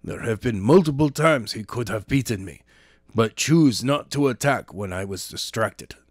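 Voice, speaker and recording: A man voicing a calm, stern male martial arts teacher with a measured, matter-of-fact way of speaking, in a storybook reading. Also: medium pitch, dry recording, studio quality, close to an everyday voice. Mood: calm, instructive.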